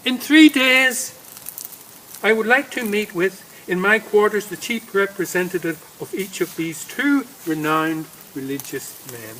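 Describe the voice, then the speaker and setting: A man's voice: high voice, expressive, measured pace, pushed and bright, exaggerated voice, low-quality recording. A man voicing a stately prince making a formal proclamation in a dramatic story reading outdoors. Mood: formal, declaratory. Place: outdoors in woodland. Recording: faint outdoor background noise.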